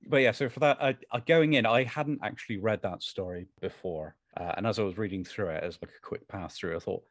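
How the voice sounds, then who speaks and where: Male podcast guest, voice actor talking as himself. Lowish pitch, courteous, tentative phrasing, dry close sound. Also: a little fast, no room sound. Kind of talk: casual interview conversation.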